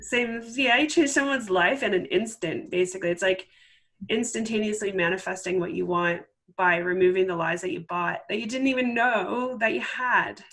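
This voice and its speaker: A woman talks as a guest in an interview. Her voice sits at an average female pitch. She explains her work earnestly and conversationally, voicing bits of remembered dialogue as she goes. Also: moderate pace, fairly bright tone. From Canada. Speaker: interview guest, life transformation coach.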